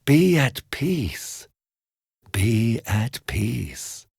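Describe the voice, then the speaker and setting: A man voicing a fisherman's soul in a bedtime story, a calm, sly tempter with a coaxing, soothing delivery. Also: medium pitch, drawn-out words, gravelly, breathy edge, no echo, clean studio sound, pitched above the performer's own voice, heavily acted.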